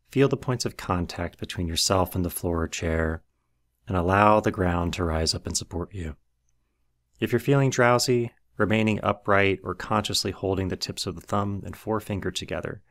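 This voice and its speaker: A man leading a guided meditation as scripted narration, with measured, instructional delivery. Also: low-pitched, a bit bright.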